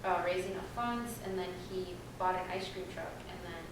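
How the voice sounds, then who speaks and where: A woman's voice: on the low side, normal speed, noisy background. Young woman chatting loosely in an echoey hall. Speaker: panelist. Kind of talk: personal storytelling on a panel. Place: large echoey room. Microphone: distant room mic. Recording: echoey hall recorded from far off.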